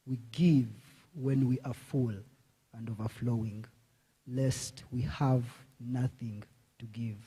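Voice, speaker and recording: Man reading a poem, slow and measured, dark husky voice. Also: mid-range voice, fairly even intonation, rough recording. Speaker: poet. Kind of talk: poetry reading. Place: conference hall.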